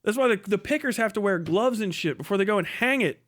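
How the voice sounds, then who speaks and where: Middle-aged male streamer, high-pitched, blunt and profane, comedic ranting in a casual livestream style. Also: animated, fast, fairly bright tone. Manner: blunt, mocking, heavy casual swearing. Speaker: live streamer, host.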